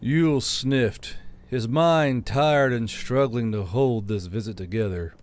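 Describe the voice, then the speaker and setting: A man's voice: mid-range voice, slow, a little mellow. A man narrating a scripted audiobook story in the role of narrator.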